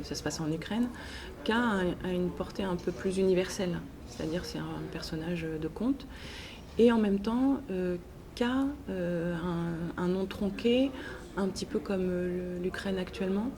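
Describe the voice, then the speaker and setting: A woman answering interview questions in a hesitant, halting way with frequent pauses. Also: on the low side, a crowd in the background. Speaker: interviewed author and teacher. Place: book festival. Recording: noisy festival ambience. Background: clear ambient noise.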